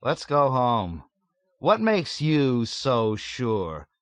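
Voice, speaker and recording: A man voicing a weary, wry adult male soldier who talks slowly and musingly. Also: medium pitch, big pitch swings, a bit bright, smooth, no room sound, pitched above the performer's own voice, heavily acted. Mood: drowsy.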